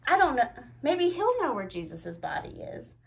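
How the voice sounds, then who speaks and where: A woman's voice: high-pitched, wildly swooping pitch, normal speed, gravelly, full character voice. A woman voicing a worried, grieving woman in a storybook, speaking urgently. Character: anxious grieving woman searching.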